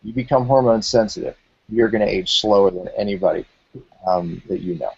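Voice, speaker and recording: A man's voice: lowish voice, brisk, darkish tone, husky, highs cut off. A man in his forties, hosting a health talk show and explaining things emphatically, with lots of repeated phrases. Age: 40s.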